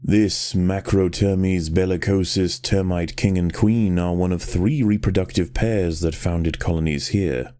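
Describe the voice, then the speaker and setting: Deep, mellow male documentary narrator. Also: fairly even intonation, soft background music. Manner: measured, solemn, dramatic delivery. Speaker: video game narrator. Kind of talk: scripted nature documentary narration.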